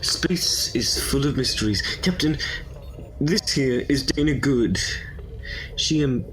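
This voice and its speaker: A man playing a confident, eccentric time-traveling adventurer in a sci-fi audio drama, brisk and whimsical. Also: medium pitch, lightly acted, poor audio. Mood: calm, whimsical.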